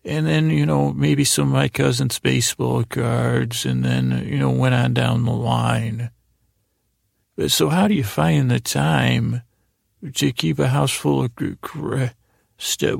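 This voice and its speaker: Male podcast host, heavy vocal fry, raspy, hesitant, meandering, lulling bedtime monologue. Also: mid-range voice, no room sound, clean studio sound. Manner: meandering, hesitant, self-interrupting.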